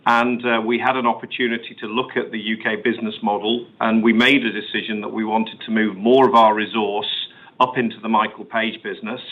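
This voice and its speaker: A male company executive answering questions on an earnings call in a measured, explanatory way. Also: medium pitch. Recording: muffled phone-line conference call audio.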